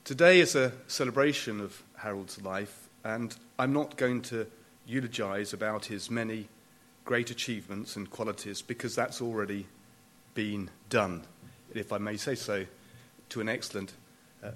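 A man giving a prepared memorial speech, formal and deliberate, with emphatic pauses and dry wit. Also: lowish voice, a bit bright. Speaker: closing speaker at a memorial event.

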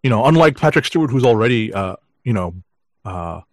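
A man hosting a podcast, chatting casually through news items with halting, measured phrasing. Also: mid-range voice, expressive. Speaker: podcast host. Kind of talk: casual comic book news chat.

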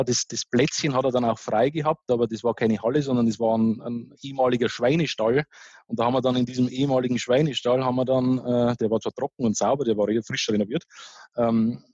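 A man's voice: medium pitch, darkish tone, slightly rough, no echo, dull audio. A man with a Bavarian accent, a start-up founder, telling his story as a guest in a casual interview, with many fillers and restarts.

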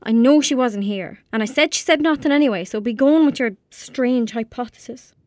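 A woman's voice: a little high, normal speed, a little mellow, no room sound, lightly acted. Woman acting as lonely irish country girl in a radio play, blunt and dreamy, very dry close sound. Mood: prickly, sarcastic. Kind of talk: audio drama acting.

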